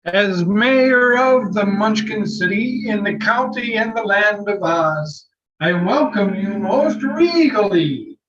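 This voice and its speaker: Man as a pompous high-pitched munchkin mayor, muffled. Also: expressive, slow pace, fairly bright tone, heavily acted. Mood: pompous welcome. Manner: pompous, stately.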